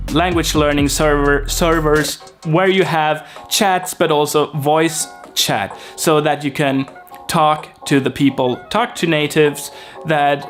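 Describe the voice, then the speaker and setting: Slow-talking man. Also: on the high side. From Sweden. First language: Swedish. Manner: unhurried, rambling, many fillers and restarts. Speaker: YouTuber. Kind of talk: casual vlog talk to camera.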